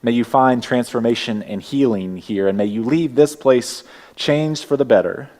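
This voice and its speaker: A man with a Texas accent leading a church service, welcoming people and giving opening words in a gentle, unhurried way. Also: mid-range voice. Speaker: worship leader. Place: church sanctuary.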